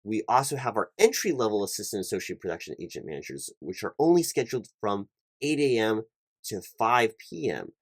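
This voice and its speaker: A man performing a one-sided job interview roleplay for ASMR as a hiring manager, speaking in a polite, officious, somewhat halting corporate patter. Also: fairly low pitch, big pitch swings, no echo.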